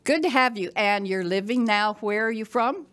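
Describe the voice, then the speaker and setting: A woman's voice: mid-range voice, normal speed, a bit bright. An older woman hosting a podcast, speaking conversationally to her audience and guest. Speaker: podcast host. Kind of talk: conversational interview and sponsor read.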